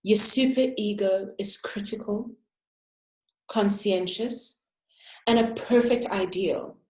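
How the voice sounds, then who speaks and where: A woman, an interior architect, giving a prepared, reflective talk and slowing down to stress key words. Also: medium pitch, warm tone, roomy sound, poor audio. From Africa.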